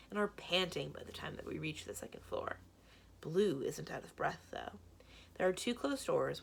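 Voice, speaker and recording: A woman reading a children's book aloud as expressive scripted narration. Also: low-pitched, creaky, slightly rough. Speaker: library read-aloud reader.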